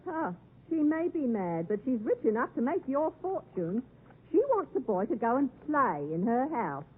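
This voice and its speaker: Sharp-tongued village scold: high, raspy, bullying and bossy, vintage radio play. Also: female voice, sing-song, normal speed, exaggerated voice. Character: sharp-tongued, bullying blacksmith's wife, scolding elder sister. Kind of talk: 1950s radio drama acting. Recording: tinny, narrow 1950s radio broadcast.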